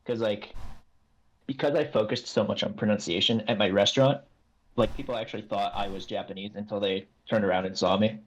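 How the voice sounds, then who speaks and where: A man's voice: lowish voice, steady tone, brisk, muffled recording. A man as a podcast guest, telling easygoing, self-deprecating stories in casual chat.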